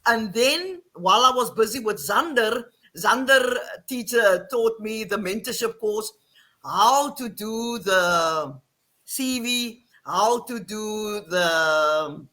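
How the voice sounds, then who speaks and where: A middle-aged woman from the Western Cape with an Afrikaans South African accent, telling her story in animated casual talk on a video call. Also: medium pitch, slow pace. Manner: animated, repeats phrases, laughs often. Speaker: guest, online English teacher.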